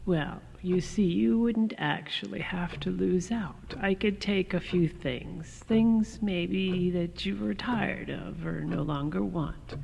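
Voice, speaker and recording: Gravelly and full of fry, a man plays a calculating burglar in a radio comedy. He persuades in slow, measured phrases, with hiss and long reverb around him. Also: high-pitched, a little mellow, exaggerated voice. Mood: persuasive. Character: smooth-talking, calculating burglar. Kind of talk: amateur radio comedy drama acting. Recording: hissy, reverberant hall sound.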